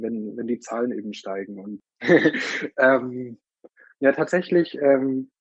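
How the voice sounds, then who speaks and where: A man's voice: mid-range voice, darkish tone, breathy edge, no room sound. Hesitant man on a dull, dry recording. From Munich, Germany. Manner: hesitant, halting, searching for words. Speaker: interview guest, newsletter co-founder. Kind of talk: informal interview answers. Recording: dry, slightly dull lo-fi sound.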